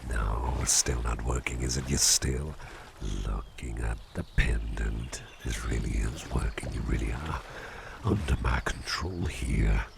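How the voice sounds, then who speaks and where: Male actor as calm businessman boss for ASMR roleplay: extremely low, unhurried, playful and soft, with clear ambient noise behind. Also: full character voice. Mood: curious, playful. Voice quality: very deep, gravelly. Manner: soft, soothing, unhurried, often dropping near a whisper. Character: calm, playful businessman boss hypnotizing his employee with a pendant. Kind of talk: slow, intimate ASMR roleplay.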